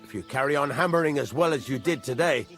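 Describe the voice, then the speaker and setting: An actor playing a gruff, demanding village master blacksmith, blunt and instructive, in video game dialogue. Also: male voice, medium pitch, quick pace, a bit bright, faint music behind, natural voice. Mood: approving, instructive. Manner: instructive, blunt, swears mildly.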